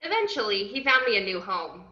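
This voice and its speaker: Woman doing a brash bubbly unhinged antiheroine, cheeky narration, sharp lively voice, bit echoey. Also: mid-range voice, fairly quick. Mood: breezy. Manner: expressive, cheeky, swooping delivery. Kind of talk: first-person scripted narration in an amateur table read. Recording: roomy, muffled online call audio.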